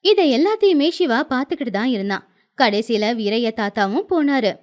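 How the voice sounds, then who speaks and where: A woman's voice: a little high, animated, brisk. A woman narrating a scripted moral tale as a storyteller.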